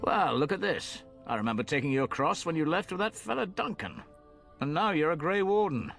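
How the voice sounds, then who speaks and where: A man's voice: a little high, very animated, fairly quick, creaky, fairly bright tone, soft background music, full character voice. An actor playing a plain-spoken, folksy commoner ferryman in a fantasy video game, chatting in rustic, colloquial speech. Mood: friendly.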